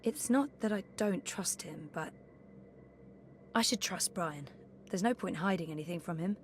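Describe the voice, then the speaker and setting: A woman's voice: fairly low pitch, measured pace, a little hiss or hum. An actress playing a guarded, curious, level-headed young woman adventurer in a video game, speaking thoughtfully and with understatement. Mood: guarded, pondering. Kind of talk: video game dialogue acting.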